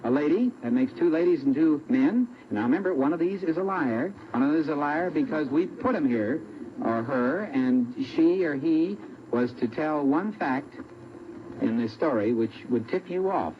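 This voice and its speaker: A man's voice: fairly high pitch, expressive, moderate pace, muffled recording, a little hiss or hum. An American man hosting an old-time radio audience show, addressing guests formally and prompting them with questions on a dated broadcast recording. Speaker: game show host.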